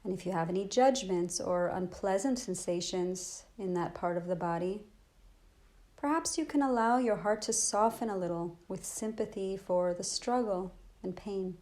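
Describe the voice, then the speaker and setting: A woman teaching a guided body scan meditation in a gentle, soothing, unhurried manner with long pauses. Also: mid-range voice.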